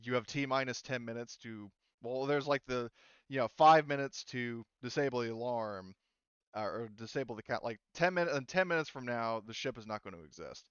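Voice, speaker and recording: A man's voice: medium pitch, normal speed, a bit bright, smooth, no echo, muffled recording. A man acting as game master, casually explaining and narrating a tabletop game in a hesitant way.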